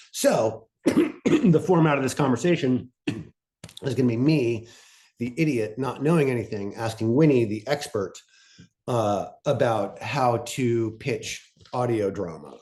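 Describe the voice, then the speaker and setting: A man moderating a casual panel talk in a self-deprecating way, thinking aloud in halting phrases. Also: lowish voice, darkish tone.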